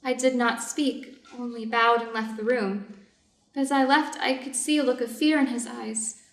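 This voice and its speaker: Woman playing a high-voiced, anxious wife. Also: steady tone, moderate pace, lightly acted. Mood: worried, torn. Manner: earnest, dramatic, careful. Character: anxious, devoted Japanese wife of a doctor. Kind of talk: reader's theater stage reading. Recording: echoey room, distant mic.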